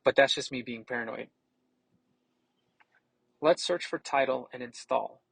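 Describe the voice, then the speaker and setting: Male tech reviewer, casual explainer read for a product demo, mid-pitched with noticeable fry, recorded very dry. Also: measured pace, fairly bright tone, no echo, poor audio. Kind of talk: scripted product demo narration.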